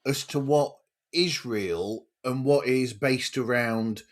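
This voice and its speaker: A male podcast host in a casual interview. He takes his time, speaking at a slow pace and feeling his way through phrases with some hesitation. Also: medium pitch.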